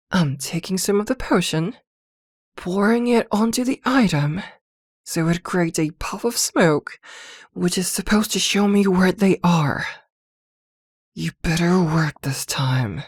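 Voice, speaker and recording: A woman's voice: lowish voice, lively intonation, slow, slightly rough, no echo, clean studio sound, heavily acted. A woman playing a possessive, protective witch girlfriend in an ASMR roleplay, scolding and fussing tenderly by turns. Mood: focused muttering. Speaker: voice actor in an audio roleplay.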